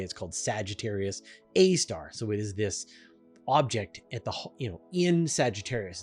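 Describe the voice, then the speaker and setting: A man's voice: fairly low pitch, darkish tone, breathy edge. A man hosting a question-and-answer show, explaining things in an emphatic, informal, conversational way. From Canada.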